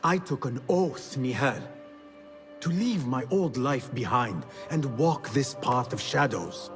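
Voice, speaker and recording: An actor playing a polite, measured young assassin from medieval Baghdad in a video game, speaking formally. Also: male voice, medium pitch, animated, over music. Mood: guarded, solemn. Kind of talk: video game cutscene acting.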